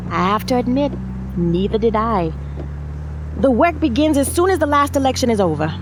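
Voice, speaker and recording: A woman's voice: on the high side, expressive, moderate pace, noisy background, natural voice. An actress playing a determined, frustrated American congresswoman in an audio drama, emphatic and plain-spoken, with an American accent. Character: determined, frustrated congresswoman and wife with presidential ambition.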